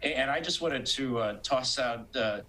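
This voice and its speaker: A man's voice: medium pitch, moderate pace, pushed and bright. A man offering unscripted remarks as a medical panelist, emphatic but with some hesitations. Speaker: panelist, chief medical officer.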